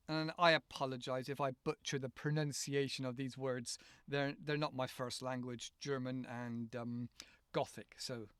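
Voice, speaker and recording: English male podcast host, somewhat high-pitched, smooth and clear, rambling in a relaxed way, dry sound. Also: dry recording. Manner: rambling, self-correcting, casual. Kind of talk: unscripted solo podcast for English learners.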